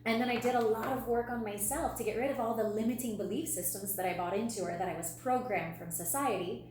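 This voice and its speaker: A woman's voice: fairly high pitch, fast talker, a little mellow. Emphatic woman in an echoey room. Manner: emphatic, uses rhetorical questions and lists. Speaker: podcast co-host and relationship coach. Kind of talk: conversational podcast discussion. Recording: echoey room, faint hum.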